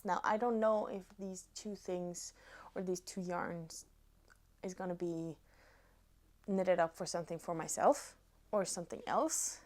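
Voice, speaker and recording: A woman in her twenties from Norway with a Norwegian accent, chatting casually to viewers as a knitting vlogger, pausing between short phrases. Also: fairly low pitch, a little mellow. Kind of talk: casual chat to camera.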